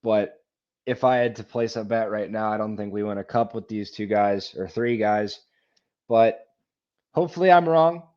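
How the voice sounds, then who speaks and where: A man with a fairly low voice chats casually about hockey as a podcast co-host. He is blunt and opinionated, and the sound is a little dull and lo-fi. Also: normal speed. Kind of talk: casual hockey fan chat.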